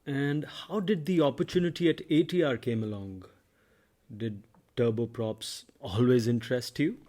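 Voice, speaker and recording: A man's voice: mid-range voice, moderate pace, mellow. A man hosting a podcast, delivering polished, scripted introductions and questions. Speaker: podcast host. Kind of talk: podcast interview.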